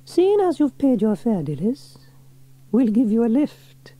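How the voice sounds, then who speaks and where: A man's voice: extremely high pitch, big pitch swings, unhurried, warm tone, no echo, voice pushed up, heavily acted. A man voicing a kindly, confident young woman firefighter in a children's storybook. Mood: cheerful. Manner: confident, reassuring.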